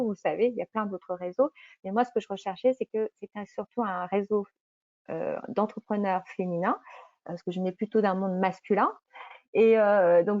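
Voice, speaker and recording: A woman's voice: mid-range voice, a little mellow, no echo. A middle-aged woman giving an informal, chatty account of her path as an entrepreneur, often correcting herself as she explains. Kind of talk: informal personal testimonial. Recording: dull, muffled lo-fi audio.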